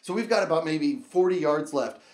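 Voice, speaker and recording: A man telling a comic personal story in an excitable, dramatic way, acting out reactions and dialogue as he goes. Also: a little high, normal speed, roomy sound. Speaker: storyteller, show host. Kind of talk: animated comedic personal storytelling.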